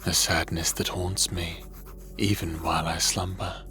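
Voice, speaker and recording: A man's voice: sits low, little pitch movement, measured pace, over music. A man in a fantasy audio drama playing a lonely, brooding god of the underworld, earnest and hesitant in his speech. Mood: mournful, hushed.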